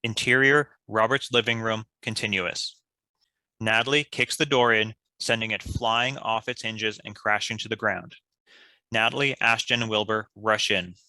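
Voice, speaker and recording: A man's voice: fairly low pitch, steady tone, moderate pace, pushed and bright, dry recording. A man reading stage directions as scripted narration.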